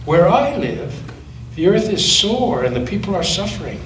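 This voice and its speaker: A man's voice: sits high, big pitch swings, moderate pace, recorded from a distance, background noise, pitched above the performer's own voice. A male pastor reading aloud and voicing a timid, wondering little girl in a raised, hesitant, earnest way in an echoey church.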